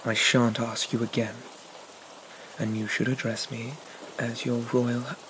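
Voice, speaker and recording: A possessive young demon prince, acted by a man in an intimate ASMR roleplay, coaxing and regal with a sweet menace. The voice is soft and breathy, slipping into whispers, with slow phrases and long pauses. A steady rain-like noise runs behind the words. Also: medium pitch, close to an everyday voice. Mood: possessive, menacing. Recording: noticeable rain-like background noise.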